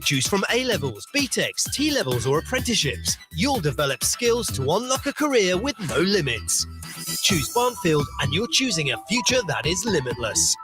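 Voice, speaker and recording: A woman's voice: lowish voice, sing-song, moderate pace. A woman reading an upbeat radio advert. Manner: upbeat and bouncy. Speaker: advert voice-over. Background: music.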